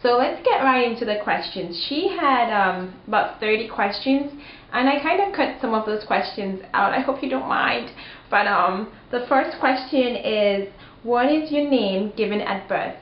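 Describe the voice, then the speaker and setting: A woman's voice: fairly high pitch, expressive, a little slow. A young woman from Cameroon chatting casually and expressively to camera as a video blogger, in an informal, emphatic way. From Cameroon, also lived in Kenya and Rwanda. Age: young adult. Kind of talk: casual chat to camera, answering tag questions. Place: small living room, indoors. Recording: dull, roomy home recording with faint noise.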